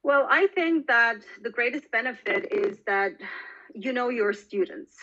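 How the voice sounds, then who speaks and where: A woman from Bosnia and Herzegovina in her forties, a longtime language teacher, answering in a podcast interview with many pauses and fillers. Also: on the high side, animated, pushed and bright, muffled recording.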